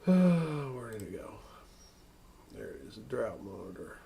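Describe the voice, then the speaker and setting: An American man with a medium-pitched voice and plenty of fry in it. He talks very slowly and haltingly, thinking aloud as he hosts a casual live weather stream and takes questions from viewers. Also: very animated, mellow, slight background noise. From northern United States. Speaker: weather livestream host.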